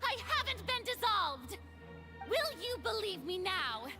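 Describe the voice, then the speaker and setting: A flustered, defiant young goddess from an anime game. Her very high voice swings widely and draws words out theatrically, over prominent music. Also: big pitch swings, measured pace, full character voice. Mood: desperate defiance. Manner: theatrical, loudly protesting. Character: defiant, flustered young woman claiming to be a god. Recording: dramatic game music behind.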